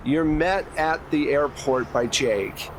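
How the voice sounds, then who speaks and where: A man's voice: on the high side, takes it slowly. A man running a tabletop game as game master, narrating casually and informally with joking asides. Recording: clear background noise.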